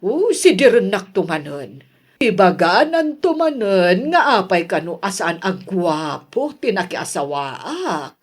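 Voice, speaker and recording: A woman playing an older mother in a radio drama, exclamatory and chuckling, with drawn-out phrases and an Ilocano accent. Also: mid-range voice, very animated, slightly rough, exaggerated voice. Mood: amused, chatty. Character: older mother reminiscing about her lost beauty, lively and teasing.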